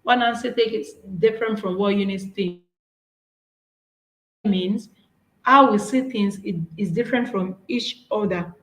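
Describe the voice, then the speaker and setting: A woman's voice: mid-range voice, unhurried, long pauses, echoey room, rough recording. A woman with a Nigerian accent, whose first language is Yoruba, giving an earnest, encouraging workshop talk with slides, often restarting her phrases. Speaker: speaker.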